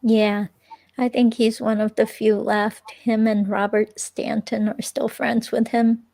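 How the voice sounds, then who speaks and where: Slow, creaky-voiced woman acting a hesitant ex-girlfriend. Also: on the high side, flat delivery, dark tone. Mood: hesitant, casual. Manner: hesitant, halting, casual. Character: hesitant, chatty ex-girlfriend being questioned by police. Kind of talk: dramatic reading of an interview transcript.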